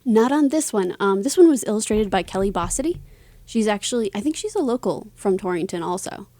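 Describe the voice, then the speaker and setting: A woman speaks as an author being interviewed, in a casual, conversational style. Her voice sits at a middle pitch. The recording is dry, with no real room sound. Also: animated, a little fast, warm tone, no room sound.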